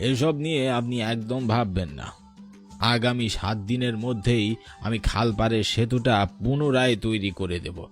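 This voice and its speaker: Low-voiced man acting as an earnest village councillor. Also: natural voice. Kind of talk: dramatized cartoon dialogue.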